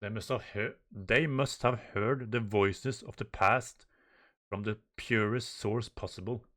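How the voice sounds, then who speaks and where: A man's voice: on the low side, steady tone, measured pace, a bit bright. A man voicing a grave manga scientist who gives a formal, earnest speech to the world. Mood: grave, confident.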